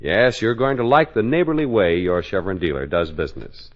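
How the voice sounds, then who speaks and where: A man's voice: low voice, a little fast. A man with an American accent reading scripted 1940s radio announcements and sponsor messages. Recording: dull old radio recording with music.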